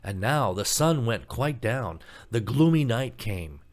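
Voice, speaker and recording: Man narrating an expressive fairy tale. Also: medium pitch, unhurried. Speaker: storybook narrator.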